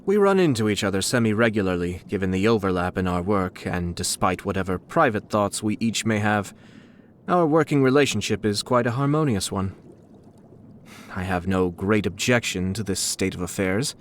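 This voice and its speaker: A man voicing a refined, suave young nobleman and clan head in a video game, coolly shrewd, with polished, measured, formal diction. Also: fairly low pitch, animated, a little hiss or hum, lightly acted. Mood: courteous, wry. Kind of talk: scripted video game character dialogue. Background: background music.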